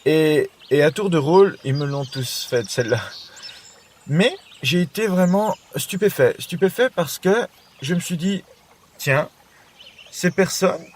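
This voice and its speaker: A man's voice: fairly high pitch, a little slow, slight background noise. A man giving an informal self-help monologue, chatting to the listener and repeating his phrases. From Belgium. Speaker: podcast host.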